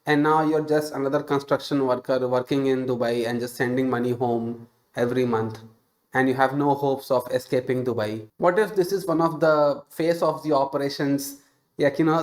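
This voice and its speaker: A young man commentating on online ads, mocking and incredulous, swearing freely. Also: mid-range voice. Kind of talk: sarcastic reaction commentary.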